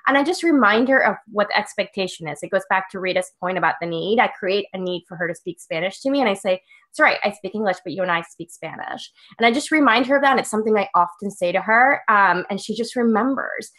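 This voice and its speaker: Bright-voiced woman on a panel. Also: medium pitch, animated, fast. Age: adult parent. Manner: firm, explains from her own parenting. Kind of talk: live panel discussion.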